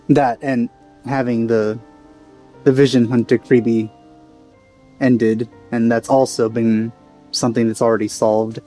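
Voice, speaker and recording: Let's play host: male, soft mellow tone, average pitch, casual commentary over faint music.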